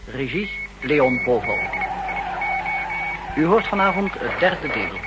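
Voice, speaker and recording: A man's voice: medium pitch, sing-song, slow pace, loud music behind the voice. A man formally announcing a radio play in 1960s broadcast style. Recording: very noisy old 1960s radio recording.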